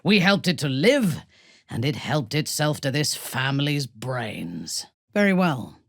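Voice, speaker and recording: A woman playing an imperious, ruthless dark elf warrior noblewoman in a fantasy video game, speaking in a commanding, formal, haughty way with a husky, breathy voice. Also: extremely low pitch, sing-song, measured pace, no room sound, studio quality, heavily acted. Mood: cool, commanding.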